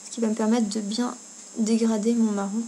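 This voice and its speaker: A woman's voice: a little high, little pitch movement, a little fast, mellow. A woman giving a casual step-by-step makeup tutorial, talking informally as she works. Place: indoors at home. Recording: noticeable background noise, amateur home video.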